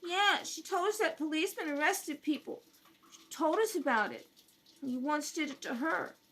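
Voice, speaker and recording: Small, wary girl voiced by a woman, high-pitched, stubborn, reluctant; radio play, slightly noisy home recording. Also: normal speed, a bit bright. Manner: plain childlike protests, reluctant answers. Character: wary, stubborn little girl guarding her family. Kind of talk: radio drama dialogue. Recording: homemade kitchen-table recording with background noise.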